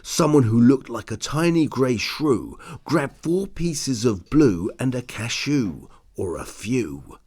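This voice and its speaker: A man narrating a rhyming children's picture book in a theatrical, deliberate way. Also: mid-range voice, lively intonation, a little mellow, husky. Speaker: storybook narrator. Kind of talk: rhyming children's story read-aloud.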